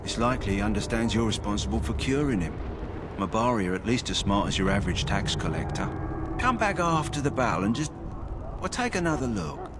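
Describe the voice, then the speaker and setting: Easygoing fantasy kennel keeper. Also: male voice, lowish voice, very animated, brisk, heavy background noise. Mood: matter-of-fact. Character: practical, kindly kennel keeper tending war hounds.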